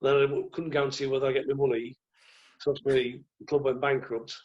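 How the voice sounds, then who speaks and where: A croaky-voiced man, telling rambling stories as a podcast guest. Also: medium pitch, little pitch movement, fast talker, muffled recording. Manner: rambling, restarts often. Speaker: guest, former goalkeeper. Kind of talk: relaxed anecdotal chat.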